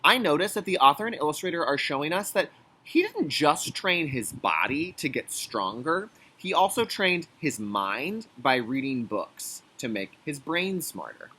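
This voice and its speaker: A man's voice: on the high side, unhurried. A man teaching young children in a read-aloud lesson, reading expressively and explaining things in simple, instructional phrases. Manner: clear instructional phrasing with check-in questions; expressive, emphatic storybook reading. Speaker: kindergarten teacher.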